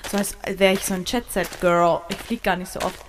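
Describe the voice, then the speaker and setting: A woman in her twenties, co-hosting a casual podcast and telling stories in a lively, giggly way, recorded on location at a mine. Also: medium pitch, fairly quick, background noise. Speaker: podcast co-host.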